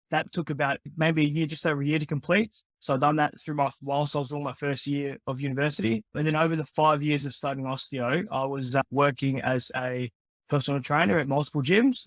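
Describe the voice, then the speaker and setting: A young man with a slightly high voice talks about his work in an easygoing, friendly way, often stopping to say "yeah". His voice sounds close and dry, with no room echo. He comes across as a guest on an interview show. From born in the Philippines, raised in Australia. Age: 20s. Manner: relaxed, friendly, many yeahs and restarts. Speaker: guest, personal trainer and osteopath. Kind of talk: casual interview answers.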